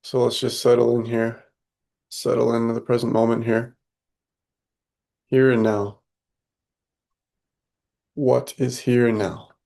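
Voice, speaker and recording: A man leading a guided meditation in a calm, unhurried, soothing way, speaking softly and leaving long pauses. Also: mid-range voice, creaky.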